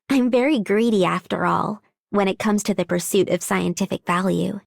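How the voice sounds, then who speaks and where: A woman voicing a diligent young female scientist in a video game, speaking earnestly and gently. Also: medium pitch, normal speed, vocal fry, no room sound, studio quality. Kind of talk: video game character voice line.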